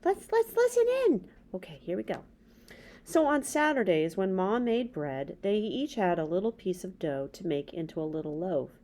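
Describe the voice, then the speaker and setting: A woman's voice: lowish voice, lively intonation, normal speed, a little mellow. A middle-aged woman hosting a children's read-aloud, reading a story and adding casual asides. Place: small indoor room with bookshelves.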